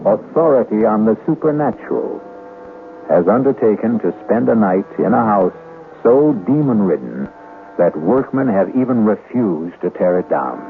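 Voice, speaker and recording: A man hosting and narrating a suspense radio drama in a measured, ominous, dramatic manner. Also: fairly low pitch, very animated. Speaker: host and narrator. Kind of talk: scripted suspense narration for old-time radio. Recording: tinny old radio broadcast, music behind.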